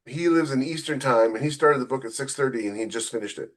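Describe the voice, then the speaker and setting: A man co-hosting a casual authors' podcast, speaking at a medium pitch. He is conversational and wry, leaning on words for emphasis as he makes his case. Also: quick pace. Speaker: novelist and podcast co-host.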